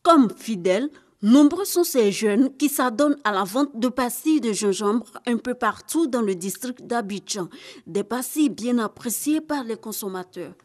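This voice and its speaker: A woman giving scripted news narration as a reporter, in a measured, formal delivery. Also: fairly high pitch, animated, a little mellow.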